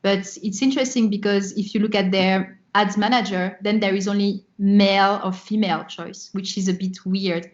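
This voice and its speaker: A woman's voice: medium pitch, fairly even intonation, moderate pace, hardly any pauses. Dull lo-fi call audio, woman, French accent, casual interview guest. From France, born in Morocco. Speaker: podcast guest, community founder. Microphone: remote call.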